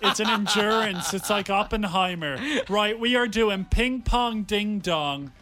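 High-voiced Irish radio co-host. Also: male voice, a little slow, pushed and bright. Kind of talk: playful radio banter.

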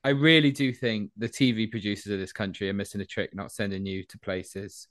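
A man co-hosting a comedy podcast, teasing his friend with playful banter and quick comic riffs. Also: lowish voice, fairly even intonation, a bit bright. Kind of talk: casual chat.

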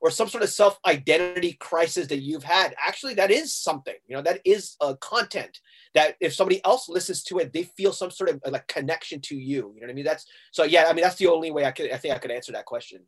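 Middle-aged guest from Hong Kong, slightly high pitch, chatty and animated storyteller. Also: male voice, fast talker, breathy edge. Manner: animated storyteller, acting out quoted exchanges. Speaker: guest musician. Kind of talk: casual interview talk.

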